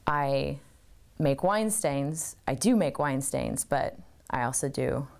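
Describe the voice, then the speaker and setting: A woman's voice: a bass voice, lively intonation, takes it slowly, gravelly, a little mellow. A woman in her thirties, an artist, talking thoughtfully about her work in an interview, recorded on a lapel mic in her cluttered home studio. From United States.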